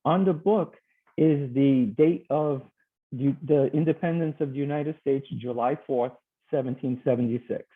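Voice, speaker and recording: A man's voice: medium pitch, moderate pace, breathy edge. Male teacher on a tinny video call. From Portugal. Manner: friendly, hesitant, with many fillers. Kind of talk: presenting slides on a video call.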